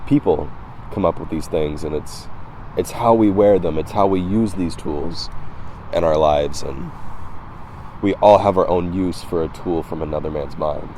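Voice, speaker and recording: Male vlogger, very low relaxed voice, a touch hoarse. Reflective, halting, casual musing, recorded outdoors. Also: slight background noise, poor audio. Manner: halting, reflective, repeating words. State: slightly hoarse. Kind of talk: casual philosophical monologue to camera. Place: outdoors by the water.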